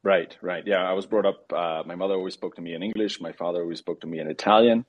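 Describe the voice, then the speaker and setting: Deep husky man, easygoing guest. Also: steady tone, fast talker, highs cut off. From Mexico. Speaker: guest, mountaineer and tour operator. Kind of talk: casual interview answers.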